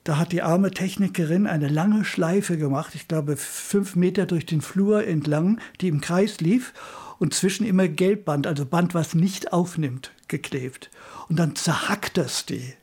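An older man recalls past work in spontaneous interview talk. His voice is husky and mellow, pitched a little high for a man. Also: unhurried. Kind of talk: interview reminiscence, spontaneous storytelling.

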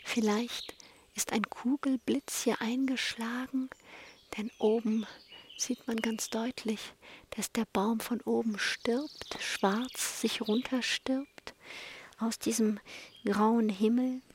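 High, breathy woman musing outdoors. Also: fairly even intonation, slow. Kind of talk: poetic improvised observation. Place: outdoors in a forest. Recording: faintly noisy outdoor recording.

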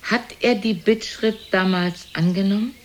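A woman's voice: medium pitch, measured pace, slight background noise, natural voice. An actress playing an imperious, blunt, aged mother who coolly belittles her son in a theatrical radio play. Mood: coolly reminiscing, belittling. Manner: haughty, probing, theatrical. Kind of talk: German radio play acting.

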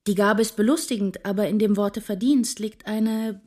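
A woman's voice: medium pitch, fairly even intonation, a little mellow, close to an everyday voice. An actress playing a genteel, reserved young woman in a German radio drama, speaking formally and seriously. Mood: earnest, reserved. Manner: measured, formal.

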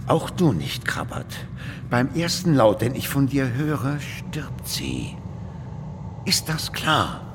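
An actor playing a menacing, commanding master sorcerer in a radio drama, speaking slowly and deliberately with threat. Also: male voice, mid-range voice, wildly swooping pitch, gravelly, noisy background, exaggerated voice. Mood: commanding, threatening.

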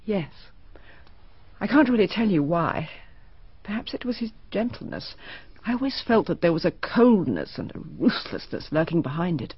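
A middle-aged mother confessing a hidden past in a radio play, hesitant and subdued. Her husky voice rises and falls widely, and the recording is dry and muffled. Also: on the low side, very animated, normal speed, no echo, full character voice. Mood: confessional. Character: guarded mother with a painful secret. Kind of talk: BBC radio drama acting.

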